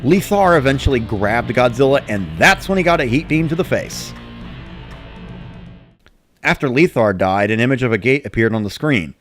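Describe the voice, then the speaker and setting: A man's voice: medium pitch, animated, normal speed, soft background music. A man giving a scripted first-person narration, like a diary of playing a video game. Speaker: narrator of a dramatic reading.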